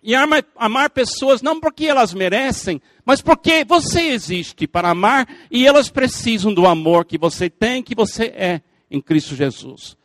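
A man's voice: high-pitched, lively intonation, normal speed, hardly any pauses, fairly bright tone, no echo. An older male pastor, a native English speaker, preaching a sermon in earnest with emphatic repetition and playful asides to the congregation.

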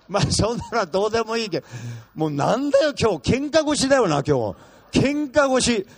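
A man whose voice sits unusually high for a man. He preaches as a guest in a church, blunt and brash, slipping in jokes as he switches rapidly between languages. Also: big pitch swings. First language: Japanese. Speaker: guest pastor. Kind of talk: bilingual sermon.

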